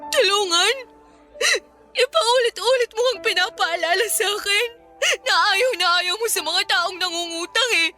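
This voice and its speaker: High-voiced, distressed young Filipina girlfriend. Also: normal speed, sharp tone, soft background music. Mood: tearful, anguished. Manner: emotional, exasperated, pleading. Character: defensive, distressed young girlfriend confessing compulsive spending. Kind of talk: Filipino radio drama acting.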